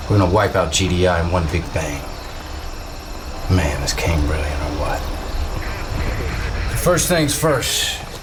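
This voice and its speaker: An actor playing a brash, gossipy military comrade in a video game cutscene, speaking in a punchy, conspiratorial, colloquial way. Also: male voice, lightly acted. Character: brash, gossipy male military comrade, cocky true believer. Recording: noisy, vehicle-like background rumble.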